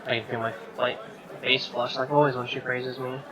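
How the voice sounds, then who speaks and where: A man's voice: medium pitch, flat delivery, moderate pace, crowd noise, background noise. A man reading a visual novel aloud as narration, with offhand reactions of his own.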